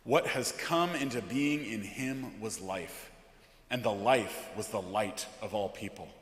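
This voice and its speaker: A man, a pastor, speaking solemnly and deliberately from a church pulpit in a liturgical setting. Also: on the low side, fairly bright tone. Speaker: Lutheran pastor. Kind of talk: liturgical scripture reading. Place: large church interior, from the pulpit. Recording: echoey church with long reverb.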